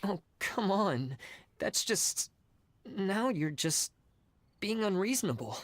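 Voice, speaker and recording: A woman voicing a meek, easygoing young exam candidate in a video game, halting and unsure. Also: mid-range voice, expressive, no room sound. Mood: exasperated.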